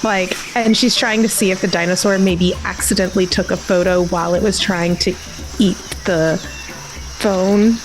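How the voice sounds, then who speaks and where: A woman's voice: medium pitch, fairly even intonation, normal speed, low-quality recording. A woman casually narrating her character's actions as a player in an improvised tabletop game.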